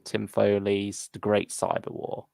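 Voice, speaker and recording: A man with a fairly low voice and heavy vocal fry co-hosts a casual fan discussion. He speaks in a hesitant, hedging way, recorded close and dry. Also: monotone, unhurried, a little mellow, dry recording. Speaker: podcast co-host. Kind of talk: casual fan discussion, reading listener comments.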